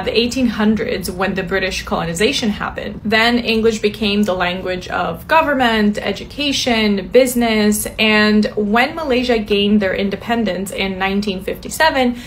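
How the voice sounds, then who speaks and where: A woman explains things chattily in a casual vlog. Her voice is mid-pitched and relaxed. Faint ambient noise runs underneath.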